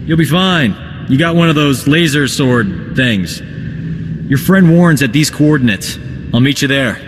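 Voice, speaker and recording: A man's voice: mid-range voice, expressive, unhurried, background noise, close to an everyday voice. An actor playing a wry, roguish male field doctor with a glib, wisecracking manner in a video game cutscene. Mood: glib, cheeky. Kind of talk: dramatic game cutscene acting. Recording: game music behind the voice.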